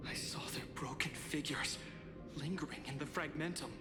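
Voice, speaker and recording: A slightly high, earnest voice of a troubled young officer, acted by a man. He reports formally and hesitantly in game-drama style, echoing in a hall with loud music behind. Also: moderate pace, natural voice. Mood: grave, shaken. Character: dutiful, troubled young male military officer. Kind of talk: dramatic video game voice acting.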